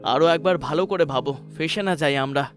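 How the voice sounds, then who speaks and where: An anxious young cartoon thief played by a man, with a high voice over background music. He sounds earnest, hesitant and easily worried. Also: fairly quick, lightly acted. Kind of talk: cartoon voice acting.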